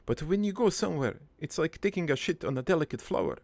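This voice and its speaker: A man voicing a middle-aged, foreign-born cartoon father who rambles through his life story in a deadpan, halting, improvised monologue with a gravelly voice. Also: fairly high pitch, no echo. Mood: earnest, crude. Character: rambling, crude, wistful foreign-born immigrant father named Joey.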